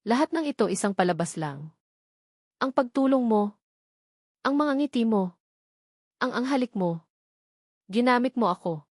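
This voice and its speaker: A woman's voice: a little high, animated, a little slow, long pauses, dry recording, studio quality. A woman voicing an anguished, betrayed young woman in an audio drama, trembling and accusing. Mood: furious, tearful. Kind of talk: Tagalog audio drama dialogue.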